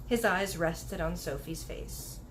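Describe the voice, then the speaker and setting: A woman's voice: fairly low pitch, takes it slowly, background noise. A woman reading a children's book aloud as narrator. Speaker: story reader.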